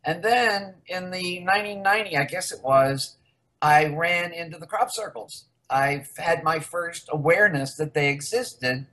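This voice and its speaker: A woman's voice: fairly low pitch, measured pace, a bit bright, low-quality recording. An older woman from the United States, a researcher guest in a casual interview, rambling candidly through her story.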